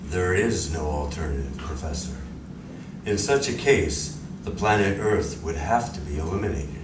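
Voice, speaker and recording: Recorded from far off in an echoey, noisy room, an actor plays a calm male alien emissary with a low voice. He speaks formally and deliberately, with polite menace, in radio drama style. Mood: grave warning. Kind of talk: live radio drama acting. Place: library community room, live performance. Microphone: audience member's distant recorder.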